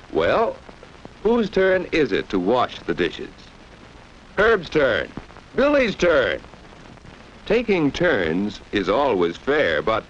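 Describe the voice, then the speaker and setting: A man narrates a children's educational film in 1940s style, with a medium-pitched voice that rises and falls in a lively way. He teaches like a storyteller, often turning to the young audience with questions. The old soundtrack is dull, with a faint layer of noise. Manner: didactic, addresses young listeners with rhetorical questions.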